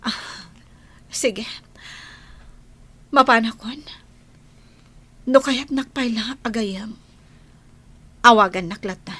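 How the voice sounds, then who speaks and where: An actress playing a defiant, bitter woman in a radio drama, with a husky voice and a Filipino accent. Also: fairly high pitch, slow pace, pauses often, a bit bright, heavily acted. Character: defiant woman confessing to an affair, bitter and unapologetic.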